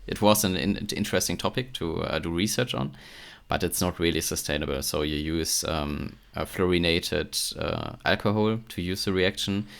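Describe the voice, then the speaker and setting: A man's voice: deep voice, moderate pace, gravelly, few pauses. A man with a German accent, a chemistry PhD guest, talking casually in an interview with frequent hesitations and restarts. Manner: hesitant, many fillers and restarts.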